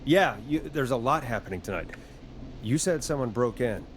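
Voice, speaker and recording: A wry late-night radio host played by a man in a video game. He has a middle-pitched voice with lively swings and easygoing on-air patter, with slight noise behind it. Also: normal speed, lightly acted. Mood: urgent.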